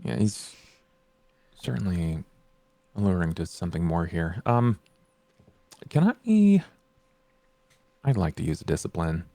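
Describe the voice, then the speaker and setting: A man's voice: sits low, very animated, a little slow, gravelly, long pauses, mellow, no echo. A man playing a tabletop game, talking casually and somewhat tentatively. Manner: hesitant, tentative.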